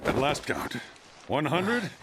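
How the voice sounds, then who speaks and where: An actor playing a boastful older martial arts teacher in a video game, pompous and theatrical in delivery. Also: male voice, fairly high pitch, big pitch swings, slow pace, heavy background noise, exaggerated voice. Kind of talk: video game cutscene acting.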